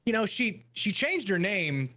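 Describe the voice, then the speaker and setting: A man chatting casually as a podcast panelist, thoughtful and halting in his delivery. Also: high voice, very animated, bright, forceful tone. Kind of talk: casual chat.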